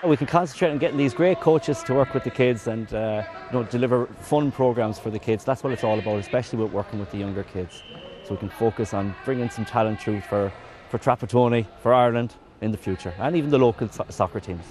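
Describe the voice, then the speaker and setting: A man, a soccer coach, giving a brisk interview with some restarts. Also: mid-range voice, over music. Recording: noisy outdoor location sound.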